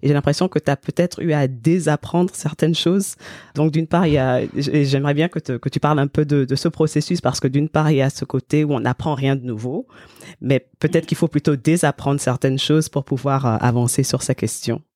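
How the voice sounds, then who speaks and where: A man's voice: fairly high pitch, fairly even intonation, a little fast, darkish tone, no echo, clean studio sound. A male podcast host asking long, engaged questions and checking in often with his guest. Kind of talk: interview questions.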